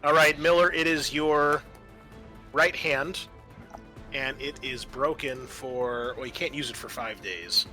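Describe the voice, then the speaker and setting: A man's voice: mid-range voice, takes it slowly, bright, forceful tone. A man acting as game master, narrating and making rulings in a casual, profane way. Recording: music behind the voice.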